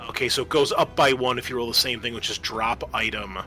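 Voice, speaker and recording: A man's voice: medium pitch, fast talker, bright, forceful tone, faint music behind. A man running a tabletop roleplaying game as game master, narrating and making rulings in a conversational, improvised way.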